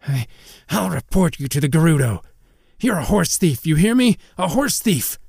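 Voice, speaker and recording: A man's voice: on the high side, very animated, normal speed, creaky, darkish tone, slightly rough, no echo, pitched above the performer's own voice, exaggerated voice. A man performs a spiteful, cowardly horse owner for an audio storybook. The voice is pushed up from the reader's own and sputters and snarls, in a dry, close recording. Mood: indignant.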